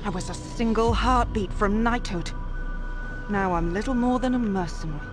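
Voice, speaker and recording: A woman's voice: medium pitch, moderate pace, close to an everyday voice. An actress playing a proud, defiant young Templar noblewoman in a video game, haughty and sarcastic in her delivery. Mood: indignant. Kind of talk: video game cutscene acting. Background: music.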